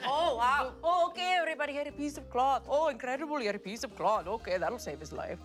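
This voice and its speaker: A woman with a high voice that swoops up and down, scolding and joking in quick banter at a tabletop game. Music plays clearly beneath her. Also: expressive, fairly bright tone. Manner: scolding, laughing, quick back-and-forth. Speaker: player voicing an auntie character.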